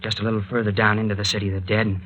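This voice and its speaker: An actor playing a protective, rattled young man in a 1940s radio serial, earnest and halting in his delivery. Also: lowish voice, lightly acted, rough recording. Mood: grave, suspenseful. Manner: earnest, halting, soothing, then excitable. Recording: vintage 1940s radio recording.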